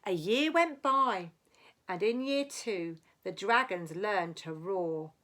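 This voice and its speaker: Female storybook reader, teacher-like: deliberate rhyming delivery, very lively intonation, unhurried. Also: medium pitch. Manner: dramatic, deliberate phrasing. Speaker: teacher reading aloud. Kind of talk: expressive children's storybook reading in rhyme.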